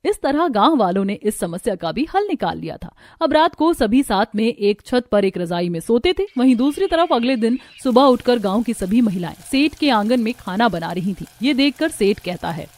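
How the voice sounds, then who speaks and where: A woman reading a scripted story as the narrator of an animated cartoon. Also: a little high, moderate pace, few pauses, soft background music.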